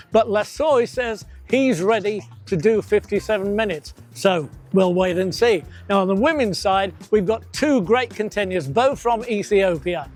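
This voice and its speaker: High-voiced man narrating a race preview.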